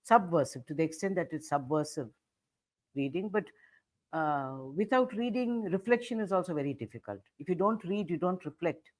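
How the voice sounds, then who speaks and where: A woman's voice: lowish voice, expressive, darkish tone. Blunt older woman author on a call. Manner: blunt, measured, thinks aloud. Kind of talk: online book conversation. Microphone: video call.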